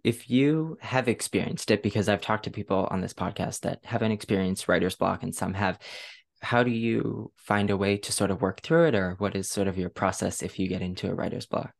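Low-voiced man hosting a casual chat. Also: brisk, gravelly. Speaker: podcast host.

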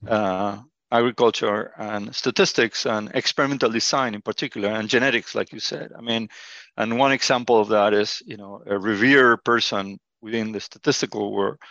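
A man's voice: fairly low pitch, steady tone, moderate pace, pushed and bright, no echo, dull audio. Hesitant man in his fifties. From Argentina. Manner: hesitant, many fillers and restarts. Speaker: guest, interim college dean. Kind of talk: interview answers.

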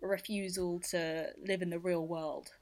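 Female co-host, slightly low register, informal conversational news chat. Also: little pitch movement, unhurried, a little mellow. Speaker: podcast co-host.

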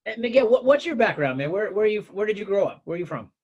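A man's voice: sits high, big pitch swings, very fast, darkish tone, husky, lo-fi sound. A man hosting a podcast, asking questions in an easygoing way with many fillers and restarts. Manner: easygoing, hesitant, lots of fillers. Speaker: podcast host. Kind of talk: casual interview.

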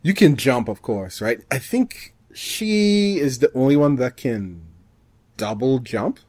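A man co-hosting a podcast, chatting casually about gaming in a halting way, often rephrasing himself mid-thought. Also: mid-range voice, expressive, darkish tone, no echo. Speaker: podcast co-host.

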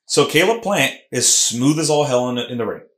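Male podcast host, emphatic and animated, middle pitch, roomy echo. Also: brisk. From Puerto Rican heritage. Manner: animated, emphatic, lots of restarts. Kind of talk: casual combat sports podcast chat. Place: Philadelphia podcast studio room.

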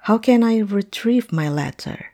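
A woman acting a hesitant, polite, heartbroken client in a fantasy roleplay audio drama, speaking haltingly. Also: medium pitch, animated, dark tone. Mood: puzzled, hesitant.

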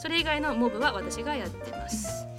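A woman's voice: fairly high pitch, animated, fairly quick, over loud music. A woman hosting a casual radio program, talking in a chatty, explanatory way. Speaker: radio show host.

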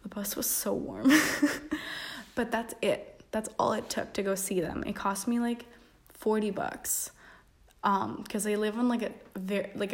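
A young woman in her twenties from Denmark, talking casually to the camera. Her voice has a gravelly, fry-laced texture at a middle pitch, loose and chatty with plenty of fillers and small laughs. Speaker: vlogger. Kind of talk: casual reflective chat.

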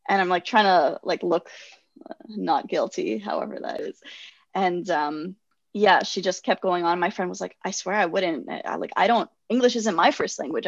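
A woman in her twenties telling a personal story in casual chat, animated and self-deprecating, laughing often. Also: lowish voice, no echo, lo-fi sound. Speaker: guest sharing personal stories.

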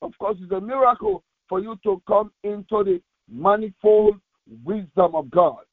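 A male pastor reading scripture and preaching in short, deliberate, emphatic phrases, heard through tinny, narrow audio. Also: high-pitched, slightly rough, dry recording.

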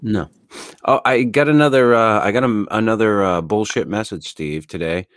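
A man's voice: fairly low pitch, moderate pace, no room sound, clean studio sound. A man co-hosting a podcast in loose, laid-back chat, swearing freely and filling gaps with "uh". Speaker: podcast co-host.

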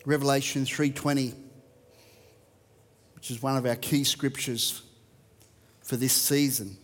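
A man's voice: mid-range voice, steady tone, unhurried, a bit bright, in a large hall. An Australian man preaching a sermon, reading verses and talking conversationally to a church. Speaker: pastor.

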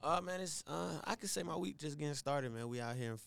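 Laid-back raspy-voiced young man. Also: a little high, fast talker, a crowd in the background. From Atlanta, USA. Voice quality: slightly raspy. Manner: laid-back, drawling, many fillers. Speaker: R&B singer guest. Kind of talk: casual interview chat.

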